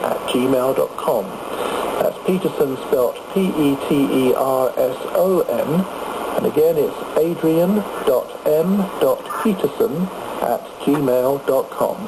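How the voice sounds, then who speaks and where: Heavy noise from shortwave reception surrounds a man presenting a radio programme. He reads scripted documentary narration at a middling pitch. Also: takes it slowly.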